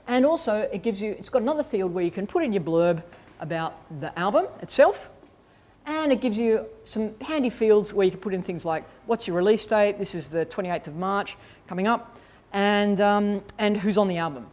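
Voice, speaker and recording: A woman's voice: medium pitch, lively intonation, normal speed, a little mellow. A woman presenting a workshop in an informal, conversational way, explaining and thinking aloud as she demonstrates. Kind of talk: informal instructional talk to attendees.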